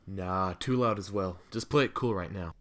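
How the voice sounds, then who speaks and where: A man's voice: low voice, moderate pace, fairly bright tone. A man playing a hardened veteran survivor and father in an audio drama, terse and authoritative. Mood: terse, commanding. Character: Jack, hardened veteran survivor and father, commanding, self-sacrificing. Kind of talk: amateur audio fiction acting.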